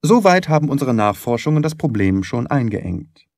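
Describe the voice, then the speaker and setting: A man's voice: mid-range voice, very animated, moderate pace, a little mellow, dry recording, studio quality. A man voicing a shrewd, self-assured detective who speaks precisely and courteously in an audiobook. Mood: analytical, self-assured. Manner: precise, probing, didactic. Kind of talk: audiobook character dialogue.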